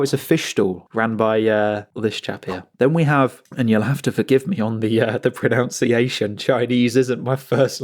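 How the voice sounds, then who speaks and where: A man hosting his own channel, talking slowly through an unscripted commentary with asides and frequent restarts. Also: mid-range voice, a little mellow. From England. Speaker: channel host.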